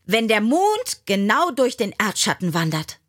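A woman's voice: mid-range voice, sing-song, a little slow, fairly bright tone. Woman reading as an emphatic young woman astronomer. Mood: triumphant. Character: determined young Chinese woman astronomer in a storybook.

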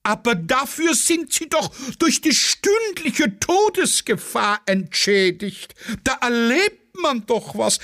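A man's voice: very high voice, a bit bright, pitched above the performer's own voice, exaggerated voice. A man voicing a theatrical, affectedly thrilled female war correspondent in a declamatory, gushing manner, as part of a dramatic play reading. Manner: affected, declamatory, breathlessly enthusiastic.

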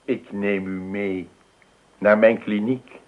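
An actor playing a firm, unhurried male clinic doctor in a radio play. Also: fairly low pitch, little pitch movement. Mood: calm, firm. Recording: muffled phone-like old radio recording.

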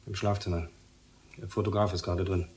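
An actor playing a hardboiled police officer in a radio crime drama, dry and matter-of-fact. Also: male voice, low voice, level tone, a little fast, darkish tone, slightly rough. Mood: brisk, dry.

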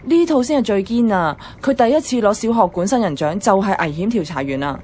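A woman's voice: mid-range voice, expressive, quick pace, dark tone, natural voice. A woman playing a feisty, blunt young office worker who loves comics, in a Hong Kong Cantonese radio drama. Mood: keen, casual. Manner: teasing, blunt.

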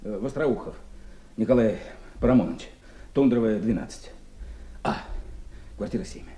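An actor playing an aggrieved, pompous working-class complainer who stammers and leans on officious filler phrases in a radio play. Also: male voice, on the low side, moderate pace, mellow, close to an everyday voice. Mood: aggrieved, self-important. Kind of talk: 1970s Soviet radio drama acting. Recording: roomy old radio drama recording.

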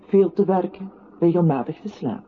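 An actress playing a narrator in a lyrical radio play, reciting in a solemn, measured way. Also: female voice, on the low side, expressive, husky. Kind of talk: literary radio drama recitation. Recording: tinny, band-limited old radio-play recording with noise.

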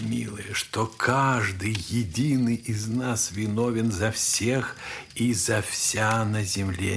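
An actor playing a humble, loving old monastery elder in an audio drama, preaching solemnly and gently, at times hushed. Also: male voice, on the low side, gravelly, slight background noise, heavily acted. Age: older. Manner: measured, solemn, gently exhorting.

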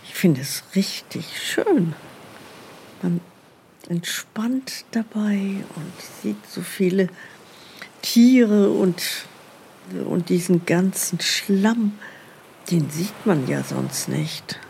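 An actress playing a plain-spoken older wife, talking simply and without hurry. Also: female voice, mid-range voice, expressive, very slow, gravelly, darkish tone, a little hiss or hum, heavily acted. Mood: contented. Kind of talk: satirical radio drama dialogue.

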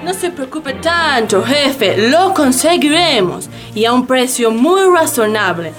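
A woman's voice: high-pitched, animated, takes it slowly, faint music behind. A woman playing a confident, reassuring, scheming underling in a satirical radio play.

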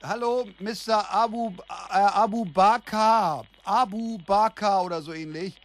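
A gullible man who is tempted by easy money. His voice sits somewhat high. He talks in a defensive, impatient, everyday manner, as in a naturalistic radio play. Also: slow pace. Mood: flustered. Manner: colloquial, impatient. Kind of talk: naturalistic radio drama dialogue.

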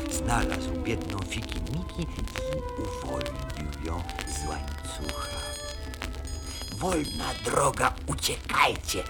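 Expressive, low-voiced male storyteller, slow. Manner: expressive, theatrical verse reading. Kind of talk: rhymed children's story narration. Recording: vinyl record, loud music behind. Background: music.

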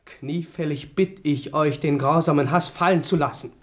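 Brief: male actor as a young crown prince in a radio play, slightly high voice, formal and pleading. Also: normal speed, natural voice. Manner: pleading, formal, then bitter. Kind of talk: 1970s radio drama acting.

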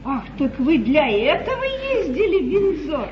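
A noisy, roomy old theatre recording. a gentle, timid queen in a stage comedy, high-pitched and plaintive. She sounds regal yet hesitant and easily flustered. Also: sing-song, normal speed, dull audio. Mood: plaintive, anxious. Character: gentle, flustered, weak-willed queen of England.

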